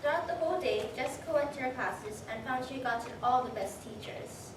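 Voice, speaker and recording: Distant, noisy room. Young female reader's theater narrator, fairly high voice, scripted storytelling. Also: brisk, heavy reverb.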